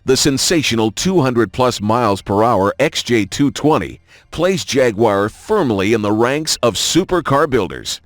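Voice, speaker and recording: A man delivers polished scripted narration in a measured announcer style, his pitch moving in lively, expressive sweeps. The voice is dry and close, with no room sound, and music plays softly behind him. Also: dry recording. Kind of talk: scripted promotional documentary narration.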